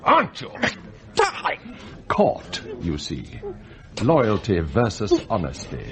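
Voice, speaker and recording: An actor playing an immaculate, murderous butler in a radio comedy drama, speaking with measured, polite deadpan menace. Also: male voice, on the low side, crowd noise, exaggerated voice. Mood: smooth, insistent. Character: immaculate, coolly scheming murderous male butler.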